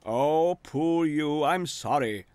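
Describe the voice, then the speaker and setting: A man's voice: fairly high pitch, slow, a little mellow, smooth, dry recording, pitched above the performer's own voice. A man playing a kindly, soothing farmer. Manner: soothing, reassuring. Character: kindly reassuring male farmer.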